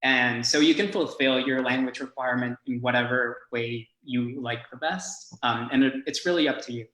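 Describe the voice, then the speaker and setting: A man's voice: mid-range voice, steady tone, normal speed, bright, forceful tone. A young man from Mexico, a native Spanish speaker, hosting a student Q&A in an easygoing way, full of "you know.". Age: young adult. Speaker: student host. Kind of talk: casual Q&A, reading questions. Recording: echoey room.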